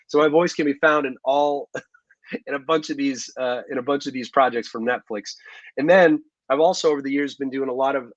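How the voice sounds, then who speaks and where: A man's voice: mid-range voice, dry recording. A man in his thirties, an actor being interviewed, talking at length in a chatty, rambling way with many ums and restarts, over a video call from his living room. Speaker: actor guest. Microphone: video call microphone. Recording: dull, dry home video call.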